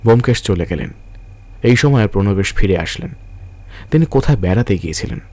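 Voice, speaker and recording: A male narrator of a radio drama. His voice sits fairly low as he tells the story in scripted, literary prose. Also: expressive, brisk, a little mellow, no echo.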